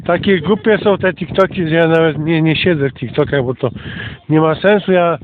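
A high-pitched man with a congested cold narrates his outdoor walk in a casual, meandering, hesitant way. The sound is thin and phone-like, with loud noise all around him. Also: moderate pace. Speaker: host and narrator of an amateur outdoor audio recording. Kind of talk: casual rambling commentary while walking. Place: outdoors, walking along a rural path.

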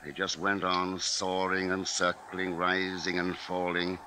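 A man's voice: sits low, completely flat delivery, unhurried, noisy background. An actor playing a grave, anxious father who narrates dramatically in a 1950s radio drama.

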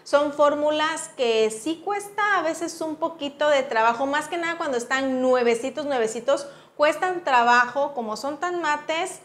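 A woman's voice: sits high, animated, unhurried, hardly any pauses, fairly bright tone. A woman with a Mexican accent giving a casual, chatty makeup review as a beauty vlogger, informal and full of affectionate diminutives. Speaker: beauty vlogger, channel host.

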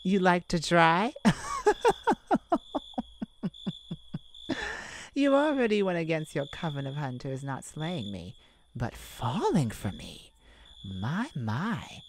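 A woman voicing a playful, flirtatious immortal vampire in an intimate ASMR roleplay, teasing and dramatic with lingering pauses. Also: on the low side, a little hiss or hum, exaggerated voice.

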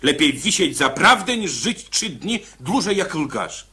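Grumbling convict, swinging pitch. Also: male voice, very animated, measured pace, sharp tone, full character voice. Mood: grumbling, stubborn. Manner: gruff, grumbling, exclamatory. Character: irritable, stubborn male convict with blunt principles. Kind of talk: radio drama acting.

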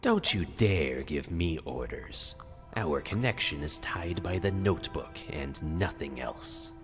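A man playing a curt, menacing death god spirit, cold and imperious, in an ASMR roleplay drama. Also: animated. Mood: cold, commanding. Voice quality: very deep, drawn-out delivery. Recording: long reverb, background music. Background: music.

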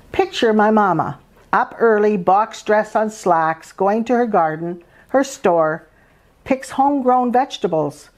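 A woman's voice: medium pitch, darkish tone. An older woman from Canada, an author and host, reading aloud and presenting a home cooking demonstration. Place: indoors at a dining table in a home.

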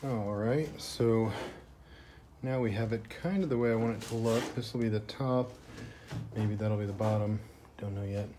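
Young guy rambling about his woodwork, lowish voice, phone mic in a noisy shop. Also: moderate pace. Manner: easygoing, rambling, lots of fillers. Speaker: woodworker filming his project. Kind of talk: casual workshop vlog. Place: workshop. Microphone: handheld phone. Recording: noisy phone recording in a workshop.

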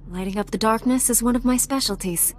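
A woman's voice: fairly high pitch, fairly quick, lightly acted. An actress playing a confident, composed female knight in a sci-fi video game, resolute and assured. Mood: calm, resolute.

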